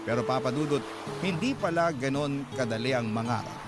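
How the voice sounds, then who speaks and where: A man's voice: medium pitch, animated, a little fast. A man narrating an earnest, confessional letter-style story in a scripted drama, with music underneath. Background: music bed.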